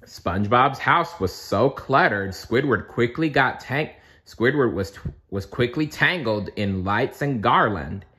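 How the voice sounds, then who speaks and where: Slow, lively male storybook reader. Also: mid-range voice. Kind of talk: children's read-aloud narration.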